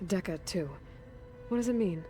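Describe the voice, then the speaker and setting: Young female team leader, played by an actress, low dark mellow voice, earnest, direct, natural game acting. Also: normal speed, faint music behind, lightly acted. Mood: matter-of-fact. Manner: direct, plain-spoken. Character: earnest young woman warrior leading a team, direct, inquisitive.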